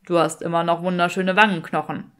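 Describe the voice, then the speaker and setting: A woman's voice: on the low side, flat delivery, a little fast, voice pushed down, lightly acted. A woman voicing a sardonic, vain young male wizard in an audiobook, with a dry, snooty manner.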